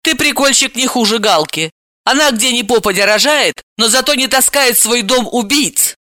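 A woman's voice: a little high, expressive, moderate pace, sharp tone, no room sound, clean studio sound, pitched above the performer's own voice. A woman voicing a hot-tempered, sarcastic, exasperated young woman in an audiobook, snappish and indignant. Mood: sarcastic indignation. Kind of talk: audiobook character acting.

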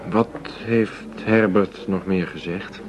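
An actor in a radio drama playing a cocky, short-tempered underworld go-between with a blunt, streetwise way of talking. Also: male voice, lowish voice, steady tone, takes it slowly, muffled recording, crowd noise. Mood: irritated, tough. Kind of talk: Dutch radio drama dialogue.

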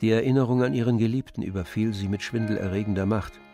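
Measured male narrator with faint music. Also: on the low side, fairly even intonation, dark tone. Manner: measured storytelling. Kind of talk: scripted literary narration for a radio play.

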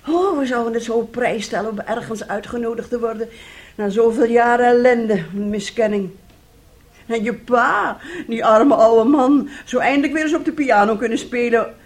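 A woman's voice: a little high, moderate pace, close to an everyday voice. An actress playing a domineering, scheming, self-pitying middle-aged mother in a radio drama, wheedling and scolding theatrically.

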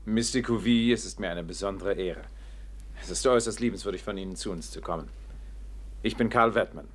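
Actor as a suave, low-voiced, coldly polite villain. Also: male voice, brisk, lightly acted. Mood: unctuously polite. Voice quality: smooth with a hard undertone. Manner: formal, over-courteous. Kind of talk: 1950s German radio drama acting.

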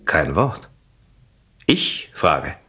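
An actor in a radio play playing a jealous, coldly sardonic husband with a mockingly polite, deliberate manner. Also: male voice, very deep voice, big pitch swings, sharp tone, slightly rough, heavily acted. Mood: cold, accusing.

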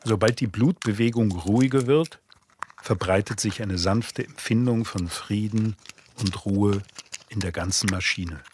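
A man giving a measured, formal literary reading of a philosophical text for a radio play. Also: lowish voice, noisy background.

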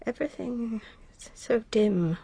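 An actress playing a frail, ailing upper-class English widow in a radio drama, halting and bitter, with a weak, breathy, croaky voice. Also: female voice, a little high, lively intonation, warm tone, dry recording, full character voice. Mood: weary, wistful. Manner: halting, drifting.

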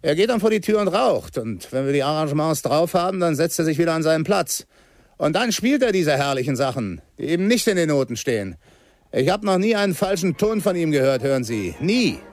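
An actor playing an admiring, firmly opinionated jazz bandmate in a radio play. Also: male voice, on the high side, big pitch swings, brisk, no room sound, studio quality. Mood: admiring, insistent.

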